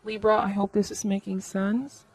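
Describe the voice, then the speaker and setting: A woman with a medium-pitched voice reads tarot for her viewers. She talks conversationally and reflectively, offering guidance as she goes and checking in with small tags seeking agreement. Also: little pitch movement, moderate pace, darkish tone, dry recording. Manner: reflective, advisory, seeks agreement with tags like okay and right. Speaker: tarot reader, host.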